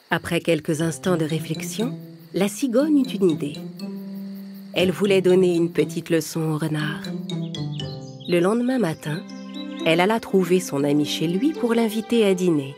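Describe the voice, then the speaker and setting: A woman's voice: lowish voice, lively intonation, normal speed, music in the background. A woman narrating a children's story in a scripted, carefully phrased storytelling style.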